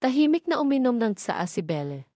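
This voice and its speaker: A woman's voice: on the high side, big pitch swings, moderate pace, warm tone, no room sound, studio quality. A woman reading a scripted dramatic audio story as its narrator.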